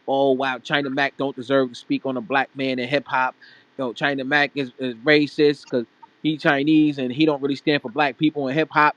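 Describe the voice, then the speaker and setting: A man's voice: mid-range voice, fairly bright tone, no echo. A man hosting a live audio room in casual street slang, informal and repetitive, speaking straight to listeners. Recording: dry, dull lo-fi audio chat.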